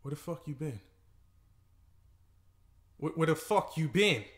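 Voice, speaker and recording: A man's voice: mid-range voice, unhurried, vocal fry. A man acting a jealous, anxious boyfriend in a song skit, swearing and wavering between panic and anger. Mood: agitated. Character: jealous anxious boyfriend waiting up all night.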